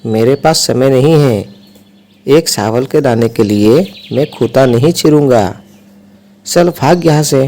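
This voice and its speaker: A man acts out a busy, dismissive male figure from a children's cartoon fable. The delivery is curt and declarative. The voice is mid-pitched with a dark, soft tone. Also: unhurried, close to an everyday voice. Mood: dismissive, irritated. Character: dismissive, busy males: carpenter, king, talking fire. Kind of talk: children's cartoon story acting.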